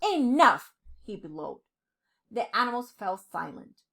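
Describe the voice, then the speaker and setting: A woman reading a children's storybook aloud with dramatic, deliberate phrasing, as a storyteller. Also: a little high, big pitch swings, fairly bright tone. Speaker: storyteller, professor.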